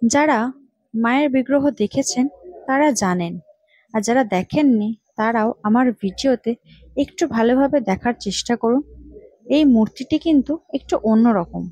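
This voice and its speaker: A woman, narrating local history as a scripted story in measured phrases. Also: on the high side, animated, darkish tone, dry recording, rough recording. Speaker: vlog host and narrator.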